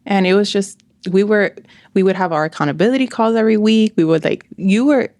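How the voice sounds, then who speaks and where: A woman's voice: mid-range voice, brisk, darkish tone, no echo. A woman hosting a podcast, chatting casually and bluntly with a close friend. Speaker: podcast host.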